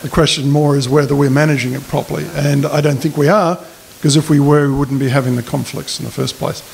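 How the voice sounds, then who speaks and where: A man's voice: fairly high pitch, fairly even intonation, quick pace, vocal fry, darkish tone. A middle-aged man with an Australian accent, a political candidate giving a speech and answering questions at a public forum, speaking plainly into a stand mic in a community hall. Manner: plain-spoken, hesitant restarts when answering questions. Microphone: stand mic at a lectern. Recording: faint hiss in a hall.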